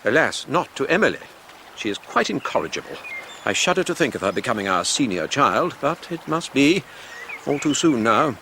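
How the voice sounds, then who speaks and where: An English actor playing a stern, self-important Victorian patriarch in a radio drama, speaking formally. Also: male voice, medium pitch, sing-song, normal speed, gravelly, sharp tone, slight background noise, heavily acted. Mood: grave. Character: pompous middle-aged Victorian father, stern patriarch. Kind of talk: 1990s radio drama acting.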